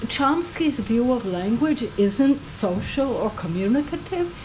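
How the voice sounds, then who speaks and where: A woman from the audience asking the panel a question, in a measured, deliberate way. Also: on the high side, big pitch swings, warm tone, slight background noise, poor audio. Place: large meeting room, speaking at a floor microphone.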